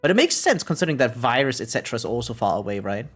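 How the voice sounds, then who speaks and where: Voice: mid-range voice, very animated, rapid-fire, fairly bright tone, breathy edge. Casual live streamer rambling over music. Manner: rambling, repeatedly tags sentences with "right?". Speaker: variety game live streamer. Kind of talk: casual live stream chat, thinking aloud while gaming. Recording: music playing behind the voice. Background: background music.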